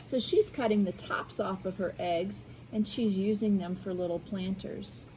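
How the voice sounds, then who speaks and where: An American woman reading a picture book aloud to children in an expressive way, adding explanatory asides. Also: mid-range voice, a little hiss or hum, low-quality recording. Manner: animated, unhurried, addressing children. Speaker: school media specialist reading aloud.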